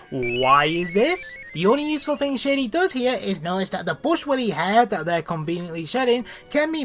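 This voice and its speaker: A woman's voice: medium pitch, fairly bright tone. A woman hosting a scripted comedic review, blunt and sweary, with an exasperated, ranting manner. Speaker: host of a cartoon review show.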